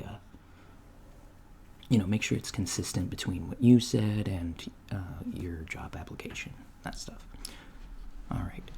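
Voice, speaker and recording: A man playing an awkward, apologetic novice alien job interviewer in a soft, hesitant ASMR roleplay. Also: low-pitched, creaky. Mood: polite, hesitant. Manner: hesitant, halting, mumbling asides. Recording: lo-fi, steady noise, keyboard typing. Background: computer keyboard typing.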